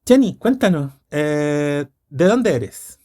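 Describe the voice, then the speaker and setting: A man's voice: on the high side, animated, slow, a little mellow. A man with a Chilean accent hosting a podcast interview, upbeat and chatty, often stumbling on repeated words. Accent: Chilean Spanish. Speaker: podcast host.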